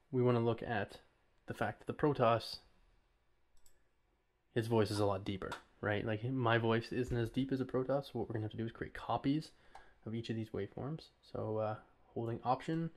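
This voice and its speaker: Man casually explaining, medium-pitched voice. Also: normal speed. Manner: informal, hesitant step-by-step explaining. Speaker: audio tutorial host. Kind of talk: casual screencast tutorial. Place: voice-over recorded while working at a computer.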